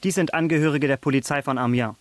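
A man's voice: fairly high pitch, fast, natural voice. An actor playing a restrained, dutiful policeman in a radio drama. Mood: firm. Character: restrained, dutiful policeman who posed as abbé. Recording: faint background hiss.

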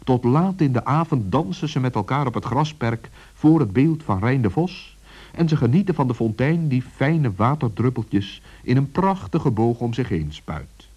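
A man's voice: mid-range voice, expressive, normal speed, a little mellow, breathy edge. A man narrating a children's story expressively, as a storyteller, on a dull vintage recording.

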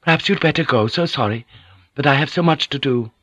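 A man's voice: mid-range voice, brisk, fairly bright tone, dry recording, dull audio, pitched above the performer's own voice, lightly acted. A man voicing a poised, formal Japanese noblewoman in an audiobook, speaking with polite restraint. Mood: guarded.

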